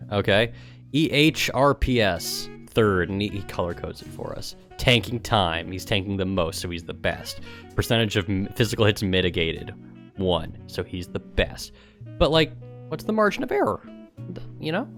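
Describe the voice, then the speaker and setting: A man's voice: fairly low pitch, fairly bright tone. A man doing casual, mocking livestream commentary, sometimes reading aloud in a sarcastic way. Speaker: streamer and gamer.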